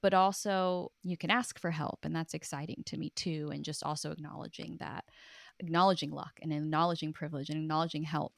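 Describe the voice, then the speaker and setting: Woman w a low voice, dry close sound, chatting earnest and reflective like an interview guest. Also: creaky, no echo. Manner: earnest, informal, with frequent "like". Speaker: guest, writer and author. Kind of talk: casual, reflective interview talk.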